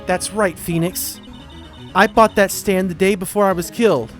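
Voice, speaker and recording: A man's voice: sits high, steady tone, moderate pace, fairly bright tone, soft background music. A man voicing a dead young woman mentor in an amateur game dub, confident, coaxing and encouraging.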